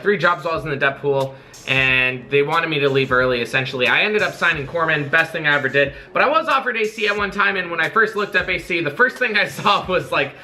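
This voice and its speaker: Bright, forceful young American man. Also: on the high side. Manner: informal, jokey asides. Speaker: video creator, Navy corpsman. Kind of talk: casual informational vlog, partly reading aloud.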